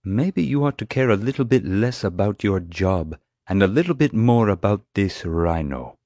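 A man's voice: mid-range voice, lively intonation, moderate pace, darkish tone, dry recording, pitched above the performer's own voice, natural voice. A man voicing an earnest, blunt anti-poaching expert with a firm, urgent manner in an audiobook. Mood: challenging. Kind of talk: audiobook character dialogue.

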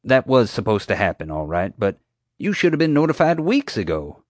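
A man's voice: on the low side, sing-song, moderate pace, no room sound, studio quality, voice pushed up. A man voicing a blustering middle-aged male park owner who talks in a folksy, pushy, defensive way. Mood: boastful.

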